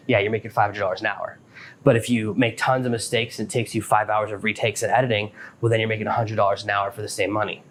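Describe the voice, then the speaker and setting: A man's voice: lowish voice, a bit bright. Man podcasting over noticeable background noise. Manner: plainspoken, explanatory, addresses listener directly. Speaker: podcast host. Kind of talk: instructional solo podcast talk.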